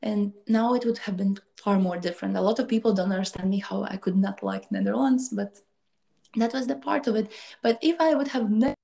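A woman's voice: mid-range voice, vocal fry. A young woman from Latvia, telling her life story as a guest in a casual chat and acting out the voices she quotes. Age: young adult. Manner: quick, animated, acts out quoted dialogue.

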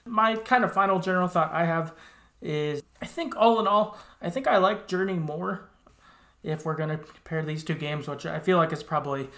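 Woman, vocal fry, agreeable, tentative, casual conversation, roomy echoing recording. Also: fairly low pitch, normal speed, a bit bright. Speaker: podcast co-host discussing video games. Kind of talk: casual chat, game review.

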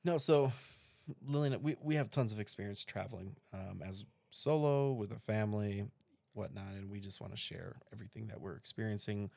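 Male co-host, 30s, gravelly, bone-dry close mic, relaxed rambling chat. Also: lowish voice, moderate pace, no room sound. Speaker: podcast co-host. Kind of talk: casual chat.

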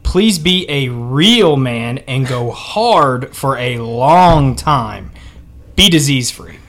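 Male co-host, average pitch, casual comedy podcast delivery. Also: lively intonation, takes it slowly, a bit bright, slight background noise. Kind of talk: casual comedic podcast talk, reading out ads.

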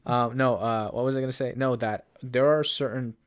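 A man's voice: medium pitch, little pitch movement, a little fast. A young Canadian man chatting on a podcast. He rambles, restarts his sentences, and laughs often while telling a story into a headphone mic. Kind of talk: casual chat. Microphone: headphones and studio mic.

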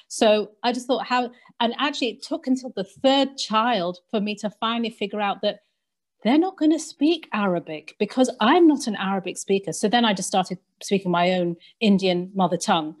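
A woman speaking as a guest in a panel discussion, thoughtful and measured, pausing with "um". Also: medium pitch. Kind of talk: reflective conversational discussion.

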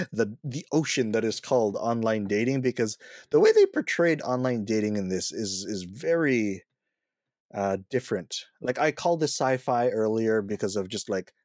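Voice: medium pitch, animated, normal speed, a little mellow, no echo, studio quality. A podcast co-host in a casual movie review chat, joking and acting out quoted reactions.

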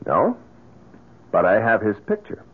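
An actor playing a polite, persuasive and somewhat hesitant private investigator in an old-time radio drama. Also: male voice, fairly low pitch, wildly swooping pitch, a little slow, slightly rough, exaggerated voice. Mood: confident. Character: smooth-talking, hesitant private investigator, a man with a scheme. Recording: muffled, tinny old radio recording.

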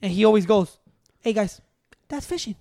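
A man chatting as a podcast co-host, telling stories in a relaxed, profane way with Spanish words mixed in. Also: sits high, normal speed, no echo, studio quality. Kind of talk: casual chat.

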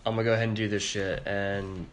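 Soft-spoken, low-voiced introverted man. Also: little pitch movement, quick pace, slight background noise. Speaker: podcast co-host. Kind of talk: casual storytelling chat.

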